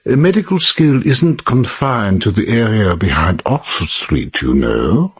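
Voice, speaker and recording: An actor playing an authoritative, faintly sinister doctor in a radio drama, measured, clinical and precise. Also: male voice, lowish voice, big pitch swings, slightly rough, dull audio, full character voice. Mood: coolly advising. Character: smooth-mannered, clinical Wimpole Street doctor, authoritative and sinister.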